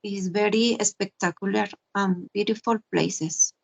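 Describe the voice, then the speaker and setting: Woman in her 40s, gravelly voice, stumbles and repeats words reading english. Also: medium pitch, fairly even intonation, measured pace, rough recording. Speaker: English learner. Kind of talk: reading a class presentation.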